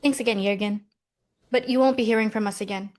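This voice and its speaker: An actress playing a pragmatic, easily exasperated millennial American twin in a comedic table read. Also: female voice, fairly high pitch, steady tone, quick pace, a little mellow, lightly acted. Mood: brisk.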